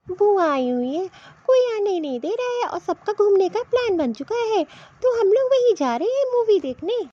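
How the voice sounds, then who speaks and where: A woman's voice: very high voice, mellow. A woman voicing a young daughter-in-law in a cartoon family story, offhand and defensive as she makes excuses. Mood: breezy, evasive. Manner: offhand, defensive, brushes complaints aside. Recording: dull, lo-fi cartoon voice track.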